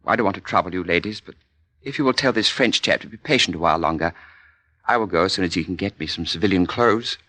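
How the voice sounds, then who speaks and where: An actor playing a polite, stranded RAF pilot in a 1940s radio drama, with a British accent and a clipped, understated manner. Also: male voice, low-pitched, fast talker, pushed and bright, dry recording. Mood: calm, understated.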